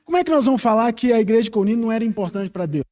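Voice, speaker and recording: High-pitched Brazilian male preacher. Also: lively intonation, very fast, a little mellow. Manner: earnest, reflective, rhetorical questions to the congregation. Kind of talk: expository Bible teaching sermon.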